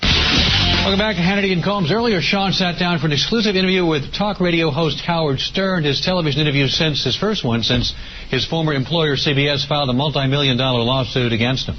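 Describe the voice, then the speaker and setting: A man's voice: on the high side, brisk. A man reading a scripted introduction as a television news show host. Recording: dull TV audio clip. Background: prominent music.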